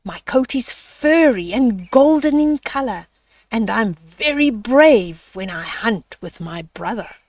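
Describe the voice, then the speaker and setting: A woman's voice: fairly high pitch, lively intonation, slow pace, a little mellow, no room sound, distant mic. A woman voicing an eager male spotted hyena who brags about himself in a children's storybook. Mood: eager bragging. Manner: eager, self-promoting.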